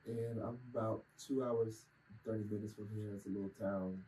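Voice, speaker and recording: Guy, musician, tells stories all animated, sounds kinda far from the mic w/ faint room hum. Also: on the low side, moderate pace. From Kentucky. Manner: animated storyteller, acts out quoted lines. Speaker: musician guest. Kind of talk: casual storytelling. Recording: distant from the mic, faint ambient noise.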